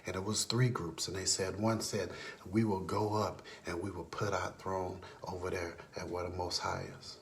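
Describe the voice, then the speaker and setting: Low-voiced man, hushed late-night talk. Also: flat delivery, normal speed. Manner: earnest, often drops to a hushed whisper. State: sleepless. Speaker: vlogger. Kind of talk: late-night religious monologue.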